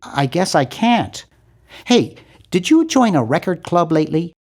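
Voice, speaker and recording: A man voicing a nerdy, earnest and anxious young hero in a video game, with a fussy, animated delivery. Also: a little high, a little slow, heavily acted. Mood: insistent. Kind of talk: video game character voice lines.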